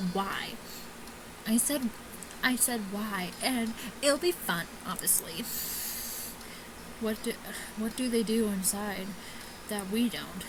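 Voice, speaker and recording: A woman doing casual gameplay commentary, reading game text aloud haltingly and often stumbling and rereading lines. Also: medium pitch, noisy background. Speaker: let's player reading a visual novel aloud. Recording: music playing behind the voice. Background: game music.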